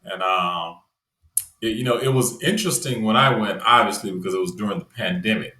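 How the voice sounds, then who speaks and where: A man's voice: lowish voice, fairly quick, reverberant. A man from the United States chatting casually as a podcast guest, loose and conversational with lots of fillers. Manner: relaxed, conversational, many fillers like "you know". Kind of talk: casual interview chat.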